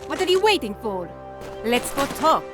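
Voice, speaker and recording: A woman's voice: high voice, big pitch swings, normal speed, music in the background. An actress playing a commanding female partisan leader in a video game, speaking in a firm, guarded, challenging way. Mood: curt. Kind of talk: video game cutscene acting.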